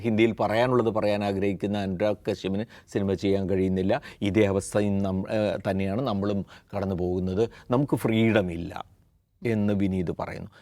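A man's voice: medium pitch, a little mellow, dry recording. Kerala man giving commentary, dry close sound. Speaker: panelist on a news discussion show. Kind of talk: opinionated current-affairs commentary.

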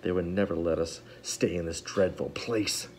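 A man voicing a steady, caring teenage girl in a storybook reading. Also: sits low, normal speed, a little hiss or hum, pitched below the performer's own voice, close to an everyday voice. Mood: sad.

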